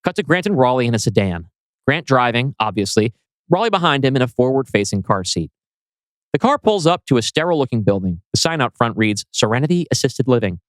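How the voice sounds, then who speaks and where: A man's voice: medium pitch, big pitch swings, a little fast, no echo. A man reading scripted screenplay narration as writer and narrator.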